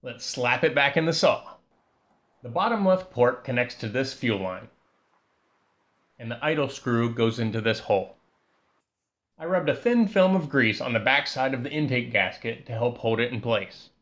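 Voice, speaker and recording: Man, calm repair narration, step-by-step, scripted voice-over, medium pitch. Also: normal speed. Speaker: repair channel host.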